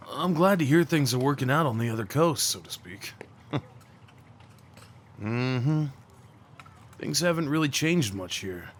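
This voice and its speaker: A man acting a young small-town guy, an awkward and tender old friend, in a casual, halting, intimate audio roleplay. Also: medium pitch, a bit bright, slight background noise, lightly acted. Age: young adult. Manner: halting, stammering, slangy, chuckling.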